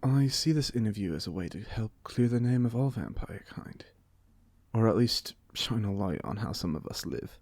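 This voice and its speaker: A man acts out a playful British vampire for an ASMR roleplay. His voice sits fairly low with a gravelly fry, recorded dry and close. He is genteel and theatrical, yet chatty and full of wry jokes. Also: lively intonation, moderate pace, darkish tone, no room sound. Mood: wry, confiding. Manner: theatrical, genteel, jokey, conversational. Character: playful, chatty 127-year-old male vampire being interviewed.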